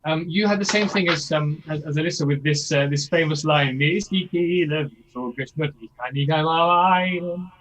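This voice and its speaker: A man's voice: fairly high pitch, moderate pace, few pauses, fairly bright tone, a little hiss or hum, low-quality recording. A man coaching a singer in a masterclass, explaining things and checking in with "yeah?" as he demonstrates lines himself. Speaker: vocal coach.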